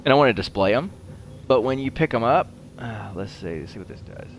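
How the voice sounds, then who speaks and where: A man giving casual commentary as he plays a video game, rambling and halting as he goes. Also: mid-range voice, expressive, a little hiss or hum. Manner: rambling, halting, talks while playing. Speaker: host of a gaming show.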